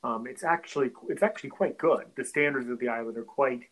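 A man from North America hosting a podcast interview, speaking in a halting way and often repeating words before finishing a thought. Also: medium pitch, a bit bright. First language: English; French is his second language. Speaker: podcast host. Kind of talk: conversational interview.

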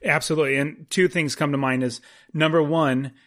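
A man's voice: a little high, steady tone, brisk, a bit bright. A man co-hosting a casual movie podcast, speaking informally and repeating words for emphasis. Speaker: podcast co-host.

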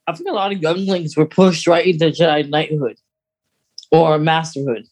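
A man's voice: a little high, fairly even intonation, normal speed. A man co-hosting a podcast, thinking aloud in a casual, tentative fan discussion. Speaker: podcast co-host.

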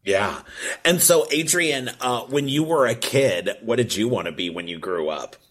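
A man interviewing a guest, asking questions in an unhurried way and laughing along with him. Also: medium pitch, lively intonation, fairly bright tone, slightly rough.

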